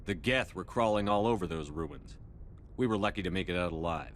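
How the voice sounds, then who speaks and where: A deep-voiced space commander from a video game, played by a man. He is firm, steady and clipped, used to giving orders, with faint rumble behind. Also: fairly quick, natural voice. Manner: authoritative, clipped. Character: male human military starship commander, firm and steady.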